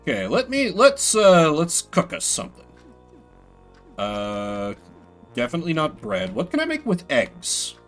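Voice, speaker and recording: A man's voice: fairly high pitch, huge pitch swings, unhurried, soft background music. A man giving casual live game commentary as a streamer, rambling with playful, self-deprecating asides.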